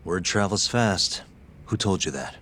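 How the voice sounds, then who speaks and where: A man's voice: low voice, expressive, a little slow, sharp tone, slight background noise, heavily acted. An actor playing a cool, wry detective in his thirties with a dry, terse delivery in a video game scene. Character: cool, wry young male detective in leather jacket. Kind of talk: video game cutscene acting.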